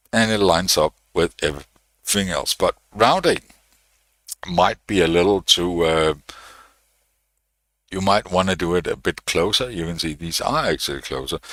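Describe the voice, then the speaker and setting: Danish man, slow unhurried tutor, low voice, dry close sound. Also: no echo. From Denmark. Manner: relaxed, unhurried, many fillers and restarts. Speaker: electronics channel host. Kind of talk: live-streamed PCB design tutorial.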